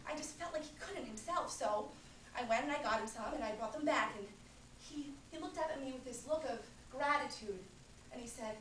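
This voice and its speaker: A woman's voice: high voice, fairly quick, a bit bright, slight background noise, voice pushed up. Woman playing a wry young woman. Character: wry young woman recounting an odd affair. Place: room at a performance. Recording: roomy, from a distant mic.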